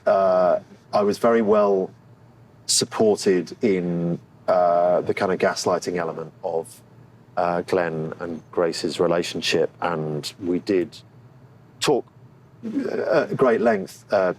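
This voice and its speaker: A low-pitched man talks candidly as an interviewed actor. He is thoughtful and halting, searching for the right words as he goes. There is clear background noise around his voice. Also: low-quality recording.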